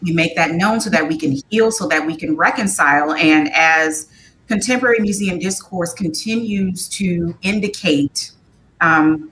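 Bright, lower-pitched American woman. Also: poor audio. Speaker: museum community engagement panelist. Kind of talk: panel discussion remarks. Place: small furnished room at home, on a video call. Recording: video call with clear noise and low rumble.

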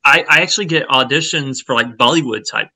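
Gravelly Southern man on a video call. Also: mid-range voice, quick pace, bright, forceful tone, no room sound. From Texas. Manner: hesitant, many fillers and restarts. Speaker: actor guest. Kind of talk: casual video call interview. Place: home room with shelves, indoors. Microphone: webcam on a video call.

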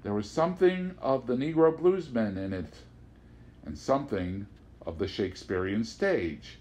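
Male audiobook reader, mid-range pitch, theatrical storyteller delivery for literary fiction. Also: lively intonation, measured pace, a little mellow, a little hiss or hum. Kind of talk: literary prose narration, read aloud.

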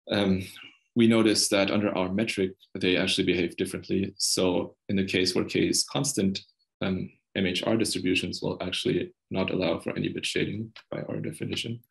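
A young man with a very deep voice and a lot of vocal fry presents technical research. He explains in a hesitant, halting way, as if walking colleagues through slides on a video call. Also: low-quality recording. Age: young adult. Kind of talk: technical conference talk over slides.

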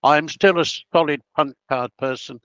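A man's voice: on the high side, moderate pace, a bit bright, clean tone, no room sound, lo-fi sound. A man in his eighties with an Australian accent, a guest on an interview podcast, telling long rambling stories about his career with frequent self-interruptions. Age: 80s. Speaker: guest, project planner. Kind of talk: casual interview storytelling.

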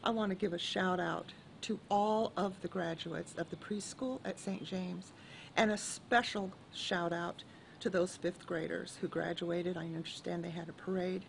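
A woman's voice: on the low side, steady tone, measured pace, gravelly, a little hiss or hum. An older woman, a grandmother, reading an encouraging message to her church community by video. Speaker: parishioner giving a message. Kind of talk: read message to congregation.

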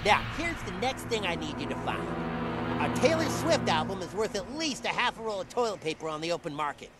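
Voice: mid-range voice, very animated, brisk, natural voice. An actor playing a folksy, greedy male trader in a game who bosses a kid around, over game music. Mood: hustling. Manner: folksy, hustling, bossy.

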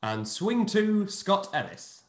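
Lively high-voiced male host on a call. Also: roomy sound. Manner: brisk, announcing names in a lively sing-song. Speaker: host and producer. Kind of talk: reading cast introductions on a video call. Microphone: video call.

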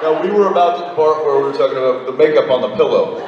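A man's voice: fairly high pitch, highs cut off, background noise. A man on a convention panel, cracking teasing jokes into a handheld mic, recorded from the audience. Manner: teasing, quick interjections. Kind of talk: joking banter on a convention stage. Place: large convention hall with a crowd. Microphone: handheld mic, recorded by a far camera. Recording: echoey hall recorded from far off, loud crowd.

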